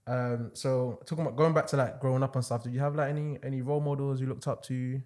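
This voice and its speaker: A young man hosting a casual podcast interview as a fitness coach, relaxed, laughing often and talking with lots of fillers. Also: mid-range voice, quick pace.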